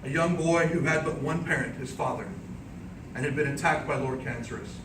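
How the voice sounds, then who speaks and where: Male contest orator, slightly high-pitched, theatrical epic storytelling with deliberate declamation; recorded far from the mic amid clear noise. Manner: dramatic, declamatory, deliberate phrasing. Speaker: speech contest speaker. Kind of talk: theatrical storytelling speech, in the manner of an epic tale. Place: indoor room before an audience. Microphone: distant microphone. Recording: roomy, distant microphone, clearly noisy background.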